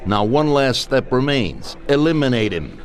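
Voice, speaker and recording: An actor playing a ruthless, blunt mafia boss, giving orders with authority in a game cutscene. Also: male voice, mid-range voice, sing-song, a little slow, a faint crowd. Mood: stern, commanding. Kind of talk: crime game cutscene acting. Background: music.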